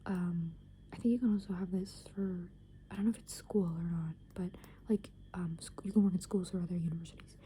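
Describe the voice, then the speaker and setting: A young woman in an unhurried ASMR ramble, her voice almost all breathy whisper with barely any tone. The sound is deeply mellow and dark, right up against the microphone. Her talk meanders with plenty of fillers. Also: steady tone, slight background noise. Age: young adult. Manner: soft unvoiced whisper, rambling with many fillers. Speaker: ASMR creator.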